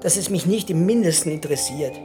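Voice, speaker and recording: An actor playing a middle-aged psychiatrist husband in a radio drama, dry, wry and resigned in manner. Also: male voice, fairly high pitch, animated, fast, warm tone, faint music behind, lightly acted. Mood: weary, resigned. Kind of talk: naturalistic radio drama dialogue.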